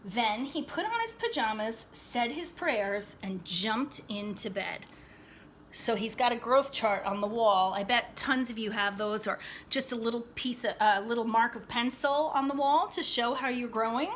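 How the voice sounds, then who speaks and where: A woman's voice: on the high side, normal speed, fairly bright tone, slight background noise. A woman reading a storybook aloud to children expressively, with chatty, teacherly asides, questions and emphatic phrasing. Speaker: storybook read-aloud reader.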